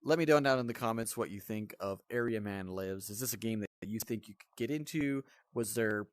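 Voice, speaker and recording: A man with a fairly low voice reviews a video game off the cuff. He is blunt and grumbles as he goes, sounding exasperated. The recording is dry, with very little room sound. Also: a little fast, no echo. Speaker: host of a VR game review channel.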